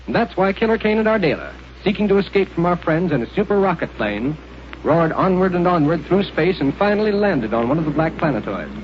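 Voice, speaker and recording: Brief: male announcer for a vintage sci-fi radio serial, higher-pitched, heavy hiss on a dull old recording. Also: animated. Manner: dramatic, measured storytelling. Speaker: announcer and narrator. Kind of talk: 1930s radio serial narration.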